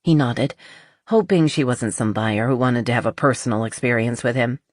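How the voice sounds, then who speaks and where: A narrator reads romance fiction in a scripted audiobook style. The voice sits at a medium pitch, and the recording is very dry, with no room sound. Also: moderate pace, no room sound.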